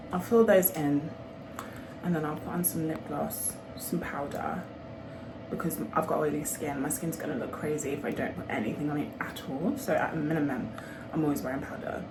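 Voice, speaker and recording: A young woman with a Birmingham accent and a slightly nasal voice, chatting casually to camera as a vlogger getting ready. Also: sits low. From Birmingham, England. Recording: clearly noisy room background.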